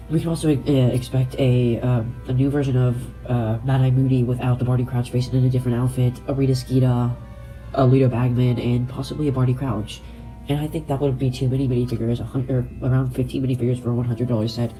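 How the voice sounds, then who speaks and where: Young guy with a blocked nose, rambly and um-filled, really dark tone, soft music behind. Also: medium pitch, rough recording. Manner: rambling, frequent fillers and self-corrections. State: congested, stuffy nose. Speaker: LEGO video creator. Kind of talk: casual speculation vlog.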